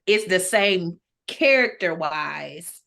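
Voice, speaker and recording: Bright-voiced woman, slow and thoughtful. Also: on the low side, lively intonation, creaky. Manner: hesitant, thinking aloud. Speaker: podcast guest. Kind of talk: casual chat.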